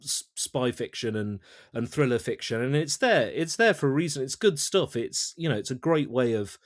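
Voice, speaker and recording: A man chatting as an interview guest about his creative work, thinking aloud haltingly and often repeating words. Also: medium pitch, animated, dry recording, studio quality. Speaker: audio drama writer-director, interview guest. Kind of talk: casual interview chat.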